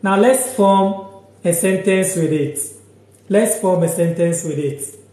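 A young man from Ghana teaching a live language lesson on his phone, slowly repeating words and sentences and reading out viewer comments. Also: high voice, mellow, roomy sound. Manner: slow, repeats each word and sentence several times. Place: small room indoors, sitting on a sofa.

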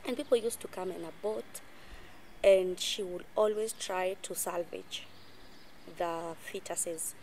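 A Kenyan woman in her thirties, a guest telling her life story in a measured, reflective way, switching between English and Swahili, recorded outdoors in a garden on a lapel mic. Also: fairly low pitch, a little mellow, a little hiss or hum. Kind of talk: personal testimony in conversation.

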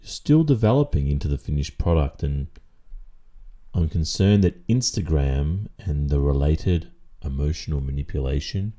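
An Australian man performing an ASMR roleplay as a stern, controlling boyfriend, speaking in a deliberate, measured way. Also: a bass voice, expressive, mellow. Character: stern, controlling, possessive boyfriend lecturing his partner.

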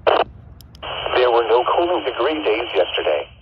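A man's voice: medium pitch, big pitch swings, measured pace. A man reading a scripted weather bulletin as an announcer, heard through a radio receiver's speaker. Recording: muffled, very noisy radio reception.

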